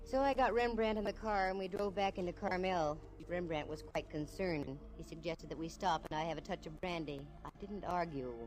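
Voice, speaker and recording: An actress playing a wisecracking female private detective who narrates her own case in a 1950s radio drama, in a brisk, breezy, wry manner. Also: on the low side, a little mellow, natural voice, poor audio. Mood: narrating.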